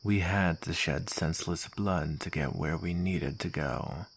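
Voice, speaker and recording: A man's voice: low voice, a little slow, a little hiss or hum. A man playing a smug soldier villain in an ASMR roleplay, taunting and drawling with mock sweetness and menace. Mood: coolly menacing.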